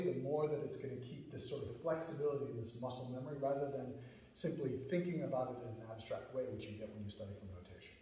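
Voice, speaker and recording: A man's voice: mid-range voice, a little mellow. A middle-aged American man, a lecturer and musician, answering audience questions in an earnest, thoughtful way, often restarting his phrases. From United States. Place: lecture hall. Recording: echoey hall, distant mic.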